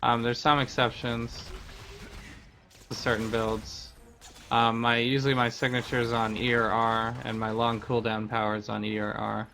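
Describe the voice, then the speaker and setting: A man's voice: mid-range voice, little pitch movement, moderate pace, vocal fry, sharp tone, soft background music. A man giving casual gameplay commentary as a streamer, explaining things in a conversational way. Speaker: game streamer.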